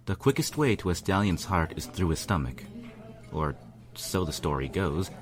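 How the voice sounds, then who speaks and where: A man reading a first-person story aloud as narrator, with an earnest, measured, brooding delivery. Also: sits low, sing-song. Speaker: fan fiction reader, narrator. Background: instrumental music.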